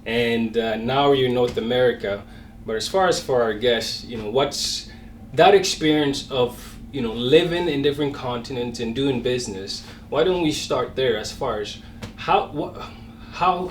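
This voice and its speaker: A man's voice: medium pitch, moderate pace, slight background noise. Man hosting, heard from a distance. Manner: friendly, hesitant, many fillers. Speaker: show host. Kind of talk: interview hosting. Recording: distant mic in an echoey room with faint music.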